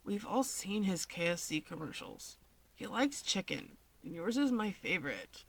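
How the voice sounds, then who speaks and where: Female reader as drowsy teen little brother for fiction: blunt, deadpan, slightly slurred mumble, dry recording. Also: mid-range voice, unhurried, vocal fry, breathy edge, no room sound, exaggerated voice. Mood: sleepy, pleading.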